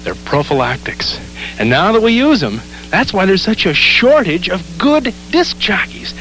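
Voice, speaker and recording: A man's voice: high-pitched, sing-song, normal speed, sharp tone, faint music behind, rough recording. A man working as a radio disc jockey, talking in joking studio chat.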